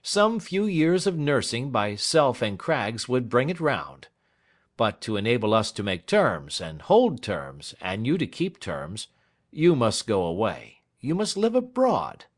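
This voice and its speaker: A man's voice: medium pitch, huge pitch swings, a little slow, voice pushed up. A man voicing a shrewd, whimsical male lawyer with a dry manner, in an audiobook reading. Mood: persuasive. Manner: dry, shrewd, prodding questions.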